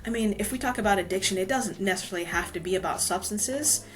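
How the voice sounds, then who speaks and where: A woman's voice: mid-range voice, quick pace, soft background music. A woman from Hawaii, a guest author telling her story in a casual podcast interview, filling her talk with "you know". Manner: chatty, many "you know" fillers.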